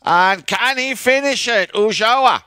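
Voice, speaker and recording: Smooth-voiced polo play-by-play man. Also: high voice, slow pace, dry recording, clean studio sound. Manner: frequent uh fillers, rising excitement on plays. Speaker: play-by-play commentator. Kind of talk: live polo commentary.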